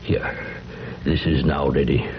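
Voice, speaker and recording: A man's voice: very deep voice, steady tone, takes it slowly. An actor playing an intense, theatrical aging alchemist father obsessed with his elixir, in old-time radio drama style. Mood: urgent, fervent. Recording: dull, noisy vintage radio recording.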